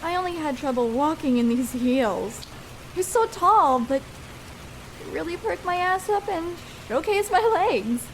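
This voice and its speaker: A very high, slow young female voice over clearly audible rain noise. A woman plays a shy, vulnerable first-time escort in an intimate ASMR roleplay. She is tender and hesitant, feeling her way through each phrase. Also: lively intonation, full character voice, poor audio. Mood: nervous, confiding. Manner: hesitant, halting, soft-hearted. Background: rain falling.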